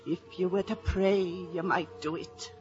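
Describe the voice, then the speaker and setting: An actress playing a solemn, foreboding old Irish village prophetess in a radio drama. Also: female voice, fairly low pitch, fairly even intonation, unhurried, darkish tone, breathy edge. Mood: ominous. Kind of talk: 1950s radio drama acting. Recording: muffled vintage radio, faint music.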